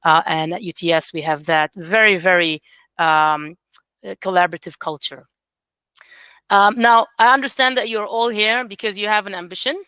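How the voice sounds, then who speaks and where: A woman's voice: mid-range voice, normal speed, pushed and bright, clean tone, dry recording. A woman in her forties, not a native English speaker, gives a chatty, encouraging webinar talk as an academic coordinator. She speaks over a video call and uses many fillers. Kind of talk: informal webinar presentation.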